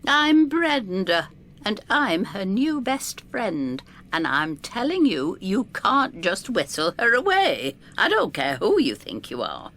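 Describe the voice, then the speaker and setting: A woman's voice: medium pitch, very animated, takes it slowly, fairly bright tone, exaggerated voice. An actress playing a blunt, protective older woman who narrates an audio drama in a wry, droll, disapproving manner. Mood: defiant. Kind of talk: first-person dramatised storytelling.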